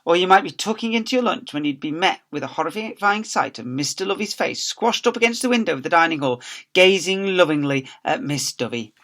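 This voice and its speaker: A man's voice: high voice, animated, a little fast, bright, forceful tone. A man, a teacher, reading a storybook aloud to young children with playful, theatrical emphasis.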